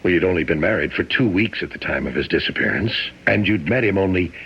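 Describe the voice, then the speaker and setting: A man playing a stern, suspicious military captain in a radio mystery, speaking formally and deliberately as in an interrogation. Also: low voice, expressive, gravelly, slightly rough, heavily acted. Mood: coolly probing. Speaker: radio drama actor. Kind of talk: old-time radio mystery acting. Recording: muffled, noisy old radio drama recording.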